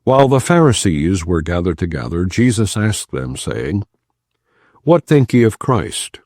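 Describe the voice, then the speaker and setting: A man giving a solemn, measured, non-dramatized reading of scripture from the Bible. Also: deep voice, darkish tone, no echo, clean studio sound. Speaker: Bible reader.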